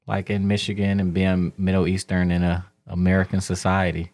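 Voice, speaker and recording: A man's voice: low voice, completely flat delivery, fairly quick, a little mellow. A man hosting a casual interview, asking curious, probing questions with many restarts. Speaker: host.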